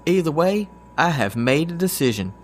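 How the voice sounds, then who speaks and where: A man's voice: fairly high pitch, sing-song, moderate pace. A man playing a loyal, good-natured and goofy agent with a folksy, joking manner in an audio drama. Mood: earnest, supportive. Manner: folksy, warm-hearted, joking.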